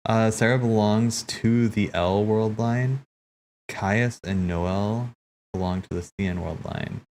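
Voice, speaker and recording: Man, low voice, casual streamer commentary, halting, repetitive, thinking aloud, faint noise behind. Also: steady tone, darkish tone. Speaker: streamer commenting on game cutscenes. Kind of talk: casual rambling theorizing commentary.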